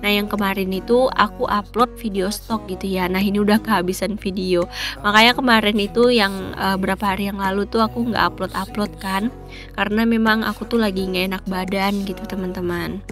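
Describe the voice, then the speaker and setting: A woman's voice: mid-range voice, steady tone, brisk, a bit bright, soft background music. A woman with a stuffy nose from a cold, chatting casually in a cooking vlog. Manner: chatty, many fillers. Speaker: vlogger, housewife.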